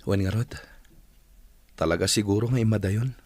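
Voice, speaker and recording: A man's voice: fairly low pitch, normal speed, darkish tone, natural voice. An actor playing a regretful village man in an Ilocano radio drama. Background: music.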